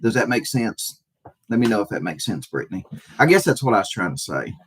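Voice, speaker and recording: A man with a fairly low, husky voice hosts a casual livestream. He speaks off the cuff to his viewers in a halting way, often circling back to repeat a phrase before moving on. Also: very animated, a bit bright. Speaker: livestream host talking with chat viewers.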